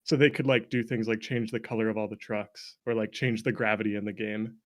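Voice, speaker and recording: A man's voice: lowish voice, level tone, brisk, a little hiss or hum. A man chatting casually as an interview guest, an indie game developer and streamer with a jokey, self-deprecating manner who often laughs. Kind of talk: casual chat.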